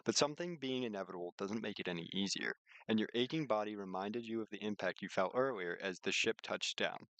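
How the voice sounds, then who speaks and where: A man's voice: fairly low pitch, fairly quick, few pauses, fairly bright tone, dry recording, low-quality recording. A man streaming his own visual novel, switching between read-aloud narration and informal asides.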